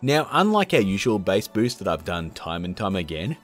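A man in his thirties hosts a tutorial with a lot of swing in his pitch. He is chatty and jokey, keeping it informal, with music playing underneath. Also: very animated, moderate pace. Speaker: host of an effects tutorial channel. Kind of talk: casual tutorial presenting.

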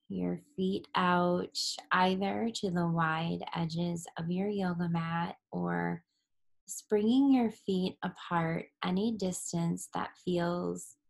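Lady doing a guided yoga relaxation, super slow with long pauses, bit low and fry-heavy, soothing. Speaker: yoga nidra instructor.

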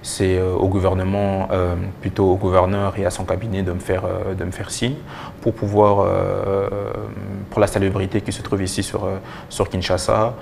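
A young man giving halting answers with repeated words as a guest in a TV interview. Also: low voice, level tone, gravelly, a little mellow, slight background noise. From DR Congo and Belgium. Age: young adult. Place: TV studio. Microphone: lapel mic.

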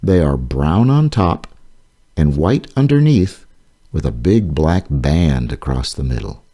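A man reading his own children's nonfiction book aloud, slowly and carefully. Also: very deep voice, big pitch swings, mellow. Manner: slow, careful, clearly paced reading. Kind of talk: read-aloud narration for children.

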